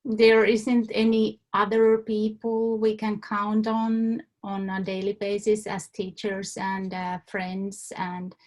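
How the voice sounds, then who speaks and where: Woman from Finland, interviewee, halting and thoughtful, unhurried delivery. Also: mid-range voice, little pitch movement, darkish tone. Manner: hesitant, many fillers and restarts. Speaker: survey respondent. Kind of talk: hesitant answers in an interview.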